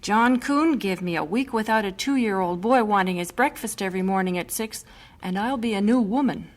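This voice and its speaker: A woman's voice: mid-range voice, normal speed, lightly acted. A woman playing a weary but determined missionary mother in an audio drama, earnest and plain-spoken.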